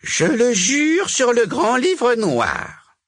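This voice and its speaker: A man's voice: sits high, wildly swooping pitch, unhurried, pitched above the performer's own voice, heavily acted. A man voicing a vain, scheming witch in a storybook, melodramatic and easily indignant. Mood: reluctant. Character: vain, shrieking witch with a huge pointed chin.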